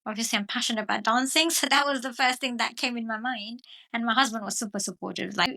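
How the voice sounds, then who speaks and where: Bright, high-voiced woman guest. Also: fast, vocal fry. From Mayotte. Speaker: podcast guest, dance teacher. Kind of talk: conversational storytelling in an interview.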